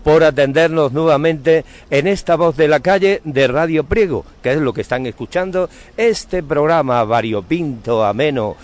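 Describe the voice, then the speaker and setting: A man's voice: fairly high pitch, moderate pace, hardly any pauses. Effusive man hosting local radio. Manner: effusive, courteous, florid phrasing. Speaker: radio host interviewing on the street. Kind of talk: conversational local radio interview.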